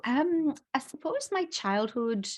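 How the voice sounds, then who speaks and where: A woman's voice: sits high, big pitch swings, slow. A woman from Africa, a guest telling her story in an interview, reflective and full of fillers. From South Africa. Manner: reflective, many fillers, laughs easily.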